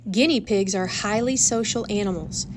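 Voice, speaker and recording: A woman's voice: mid-range voice, normal speed, noisy background. A woman reading a children's storybook aloud, with careful storyteller phrasing meant for young listeners. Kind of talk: animated read-aloud storybook narration.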